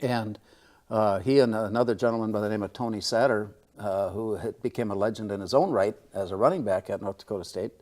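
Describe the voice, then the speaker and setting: Low-pitched older man. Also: a little fast, darkish tone. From Minnesota, USA. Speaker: radio play-by-play announcer, interview guest. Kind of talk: reminiscing in interview.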